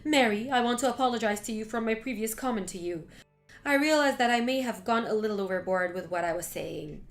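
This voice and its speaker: A woman's voice: a little high, animated, brisk, faint music behind. A woman voicing a spiteful older mother-in-law who talks in a sneering, scolding way. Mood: stiffly apologetic.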